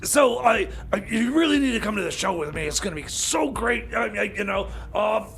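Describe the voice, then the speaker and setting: A man playing an excitable, scatterbrained time machine mechanic in radio comedy, pleading in stammers and repeats with a strained voice. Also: high voice, fairly quick, vocal fry, heavily acted. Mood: eager, pleading.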